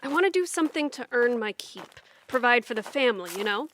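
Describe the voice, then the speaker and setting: A woman plays a gung-ho, energetic daughter in a comic audio drama. The voice is high and bounces widely, over faint noise. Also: fast, poor audio. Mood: excited. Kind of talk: audio comedy drama acting.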